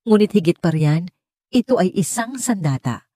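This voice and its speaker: Woman voicing a dignified young Filipina scholar, mellow. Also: fairly low pitch, lively intonation, moderate pace, no echo, studio quality. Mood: firm, earnest. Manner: clear, firm, earnest. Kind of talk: audiobook character dialogue.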